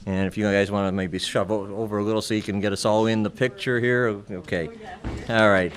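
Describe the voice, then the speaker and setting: A man acting as a club election chairman, speaking to members in a casual, jocular, halting way. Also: on the low side, fairly even intonation. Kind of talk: informal ceremony and announcements at a club meeting. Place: club meeting room.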